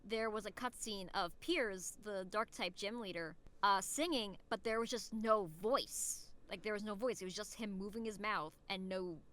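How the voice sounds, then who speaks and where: A young woman with a very bright, forward voice talks as a podcast guest. She is casual and eager, rambling through her thoughts and dropping "like" in often. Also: mid-range voice, moderate pace, few pauses. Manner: enthusiastic, rambling, peppered with "like".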